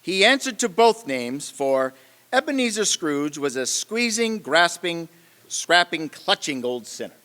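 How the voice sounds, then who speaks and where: A man playing a genial Victorian author who tells his tale in a deliberate, dramatic manner for a staged radio play. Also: fairly high pitch, very animated, bright, forceful tone, full character voice. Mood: dramatic narrating. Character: genial, theatrical male Victorian author and storyteller. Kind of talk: old-time radio play narration on stage. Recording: long hall reverb, music behind.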